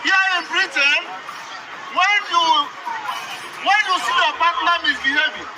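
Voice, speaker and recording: A man's voice: very high voice, measured pace. A man from southeastern Nigeria, giving an impassioned protest speech at an outdoor street rally. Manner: impassioned, shouting slogans. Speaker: rally speaker. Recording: loud crowd noise outdoors. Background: loud crowd and street noise.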